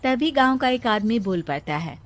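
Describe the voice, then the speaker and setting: A woman's voice: a little high, lively intonation, normal speed. A woman narrator reading a scripted moral story.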